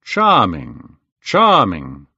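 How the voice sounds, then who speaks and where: A man voicing a worldly gentleman with a low, dry, unhurried delivery. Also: big pitch swings, husky, no room sound, heavily acted. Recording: dull dry recording.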